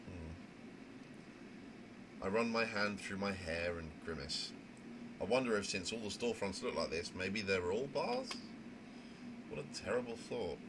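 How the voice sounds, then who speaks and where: A man acts out an anxious young trainee mediator in a visual novel, indignant and earnest. The voice sits fairly low and stammers when flustered. It is a home recording with noticeable noise. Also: lively intonation, a little slow, pauses often. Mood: wary, musing. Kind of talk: amateur voice acting of a visual novel.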